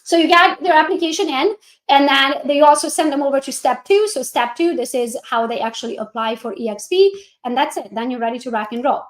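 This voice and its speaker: A woman with a Hungarian accent coaches in a lively, chatty way and talks right to her viewers. Her voice sits high, and the sound carries a roomy echo. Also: brisk. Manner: energetic, chatty, addresses viewers directly. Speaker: real estate coach. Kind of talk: coaching walkthrough on a live stream.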